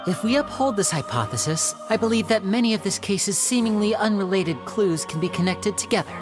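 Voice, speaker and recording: A woman's voice: medium pitch, lively intonation, a little fast, natural voice. A woman voicing a poised, courteous young defender in a video game courtroom, polite, composed and persuasive. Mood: calm, persuasive. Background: game music.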